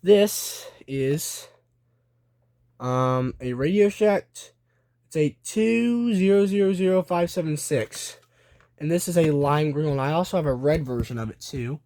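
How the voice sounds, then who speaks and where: A man's voice: fairly high pitch, unhurried, a little mellow. A man giving casual show-and-tell commentary, thinking aloud in a hesitant way. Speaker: video creator showing a collection.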